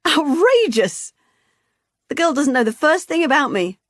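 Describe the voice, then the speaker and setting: A woman reader voicing a hearty, loud middle-aged woman, talking high and breezily in an audiobook. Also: sing-song, a little slow, no room sound, studio quality. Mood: booming, jolly.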